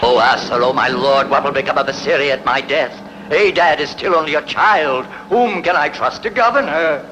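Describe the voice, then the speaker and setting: A man's voice: fairly high pitch, normal speed, hardly any pauses, pushed and bright. A man playing a troubled ancient king fearful for his heir in a dubbed epic film, solemn and dramatic, on a noisy soundtrack. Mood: anguished prayer.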